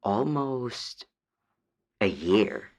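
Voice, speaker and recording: A woman's voice: rumbling low, expressive, drawn-out words, a little mellow, full character voice. A woman voicing a meek, hesitant dryad calling a radio advice show in an audio drama, unsure and trailing off. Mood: hesitant, sheepish. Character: hesitant, meek female dryad, homesick for her forest.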